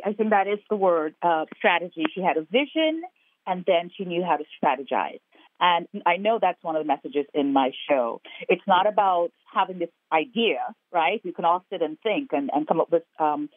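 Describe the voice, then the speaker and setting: A woman's voice: fairly low pitch, no room sound. A woman from Guyana talks earnestly about her work, stacking up her thoughts in repeated phrases. Her voice comes through a tinny telephone line. The sound is dry and close. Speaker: actor and playwright guest. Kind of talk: interview over the phone.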